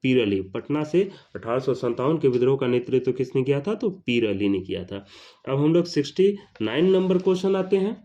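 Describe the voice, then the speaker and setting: Guy going over exam questions one by one, repeats everything twice, mellow voice, kinda muffled recording. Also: mid-range voice, animated, brisk. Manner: repeats each question and answer, announces numbers louder. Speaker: teacher.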